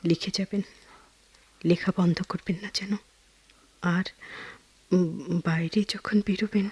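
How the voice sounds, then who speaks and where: An actress playing a tender, determined woman in a radio drama, speaking softly and hesitantly. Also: on the low side, steady tone, slow, breathy edge. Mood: hushed pleading. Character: tender, determined young woman saying farewell. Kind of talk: Bengali radio drama acting.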